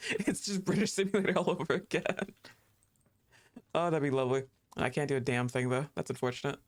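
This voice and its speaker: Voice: medium pitch, steady tone, fairly quick, pauses often. Chatty, laughing game streamer. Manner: chatty, laughs often, talks back at the screen; thinks aloud with fillers and restarts. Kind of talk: casual live-stream chat while playing.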